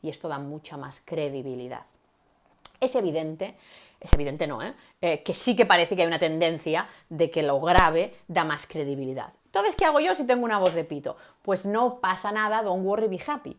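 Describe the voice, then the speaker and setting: Lively woman coaching viewers. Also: on the low side, moderate pace, a little hiss or hum. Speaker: communication coach. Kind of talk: live-stream teaching talk.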